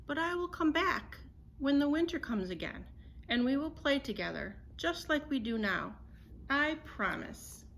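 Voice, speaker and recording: A woman voicing a male snow bear in a storybook, a tender, loyal friend speaking softly and patiently. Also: high voice, big pitch swings, unhurried, gravelly, slight background noise, voice pushed up, exaggerated voice. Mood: tender reassurance. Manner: soft, patient, reassuring.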